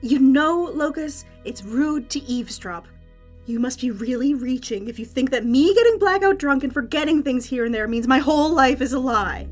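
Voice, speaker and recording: A woman playing a cheeky, evasive underground fight announcer in an audio drama, teasing and quick to get defensive. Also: high-pitched, animated. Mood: irritated, dismissive. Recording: music under the voice.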